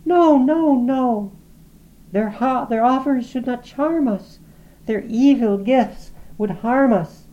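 A woman's voice: on the high side, slow pace, highs cut off, pitched above the performer's own voice. A woman voicing a frightened young girl with alarmed, pleading cries.

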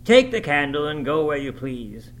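Brooding, scornful master of the house, classic novel audiobook. Raised, forceful pitch, curt orders, volatile feeling. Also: male voice, sing-song, moderate pace, a little hiss or hum, low-quality recording. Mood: scornful, commanding. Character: brooding, tormented, gruff landlord, a grown man.

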